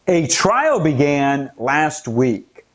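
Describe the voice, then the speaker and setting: A man's voice: a little high, very animated, drawn-out words. An American man hosting his own commentary channel, talking earnestly and growing indignant as he lays out a case. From United States. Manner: earnest, indignant, rising emphasis at key points. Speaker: political commentary channel host. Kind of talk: scripted-sounding solo commentary.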